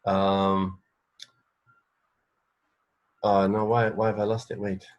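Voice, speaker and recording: A man's voice: on the low side, flat delivery, slow pace, lo-fi sound. A man co-hosting a radio show in casual, unscripted chat, tentative and thinking aloud. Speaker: radio co-host.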